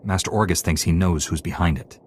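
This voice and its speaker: An actor playing an earnest, resolute young Jedi apprentice in a video game, speaking in a serious, firm way. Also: male voice, sits low, fairly quick, breathy edge. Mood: serious, questioning. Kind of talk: video game cutscene acting.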